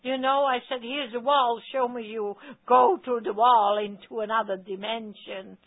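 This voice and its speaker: A woman's voice: a little high, fairly even intonation, normal speed. A woman with a Dutch accent, telling a story animatedly on a phone call, with emphatic stammered restarts. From Netherlands. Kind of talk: casual talk on a radio call-in. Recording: tinny phone line.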